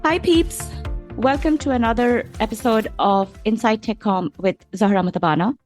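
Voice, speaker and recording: A woman's voice: fairly high pitch, normal speed, darkish tone, soft background music. Effusive woman hosting a podcast. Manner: upbeat, effusive, welcoming. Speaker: podcast host. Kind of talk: podcast intro and interview hosting.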